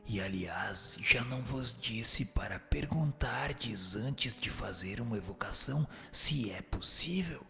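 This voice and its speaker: Radio drama spirit, played by a man: low, gravelly, solemn and didactic. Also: animated, bright, forceful tone, full character voice. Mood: solemn, instructive. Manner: measured, didactic. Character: solemn wise male spirit, instructing with calm authority. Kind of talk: scripted spiritist radio drama, answering questions. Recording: music playing under the voice. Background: music.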